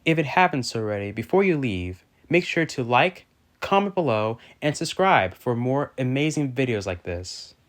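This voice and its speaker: A man's voice: medium pitch, animated, normal speed. A man narrating an informational video from a script in a formal, careful way, occasionally stumbling over a word. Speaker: railfan video host.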